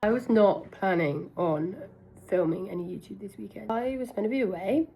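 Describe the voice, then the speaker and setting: A young British woman chatting casually to camera as a vlogger, chatty and self-deprecating, with a husky voice hoarse from illness. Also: mid-range voice, normal speed, dark tone. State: hoarse from being ill. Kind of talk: casual vlog chat to camera.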